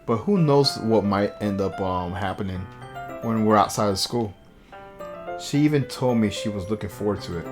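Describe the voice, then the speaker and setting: A man's voice: on the low side, normal speed. A man streaming a game, reading its text aloud with casual asides and sometimes stumbling over the words. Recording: background music behind voice.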